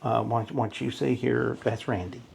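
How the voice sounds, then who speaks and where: Gravelly-voiced male interviewer. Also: mid-range voice, fairly even intonation, normal speed, a little mellow. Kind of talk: casual interview wrap-up.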